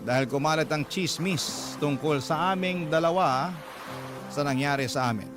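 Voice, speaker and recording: A Filipino man narrates a dramatic first-person radio story. His voice sits fairly high for a man, and music plays clearly behind him. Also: moderate pace. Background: music bed.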